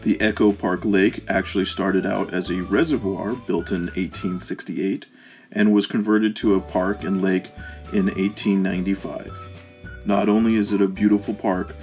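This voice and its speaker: A man's voice: low voice, level tone, moderate pace, darkish tone, soft background music. A man narrating a travel vlog as its host, reading from a script.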